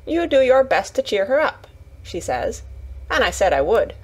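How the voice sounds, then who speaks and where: A woman's voice: on the high side, big pitch swings, moderate pace, breathy edge. A woman voicing a cheerful young Yorkshire housemaid in a storybook, chatty and eager, with homely dialect and exclamations. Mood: earnest, chatty.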